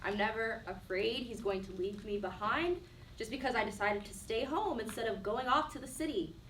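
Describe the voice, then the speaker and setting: A woman's voice: on the high side, normal speed, fairly bright tone, lightly acted. An actress playing a defiant, wounded twenty-three-year-old woman in a stage play, speaking earnestly and defensively. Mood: hurt, insistent. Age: young adult. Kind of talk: one-act play dialogue. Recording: roomy echo, distant mic, faint noise.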